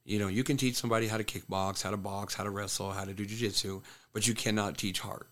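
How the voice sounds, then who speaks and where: A man's voice: on the low side, steady tone, quick pace, fairly bright tone. A man who coaches fighters, telling a fight story bluntly in casual conversation, swearing freely. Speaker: MMA coach and fighter, podcast guest.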